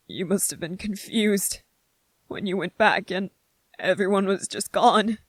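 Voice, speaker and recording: A gentle young soldier, as a performer acts him out speaks very slowly in a soft-edged, husky voice. He is vulnerable and devoted, halting between phrases in an intimate, dry-sounding ASMR roleplay. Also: medium pitch, no room sound. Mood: aching, sorrowful. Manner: tender, hesitant, halting, earnest.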